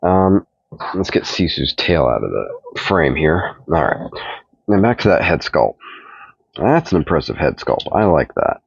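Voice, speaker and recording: A middle-aged man with a stuffed-up voice, casually reviewing a toy in a relaxed, rambling way. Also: low-pitched, a little slow, vocal fry, husky, no room sound. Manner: relaxed, rambling, repeats himself while handling the figure. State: stuffed up from a cold. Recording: dull, dry home recording.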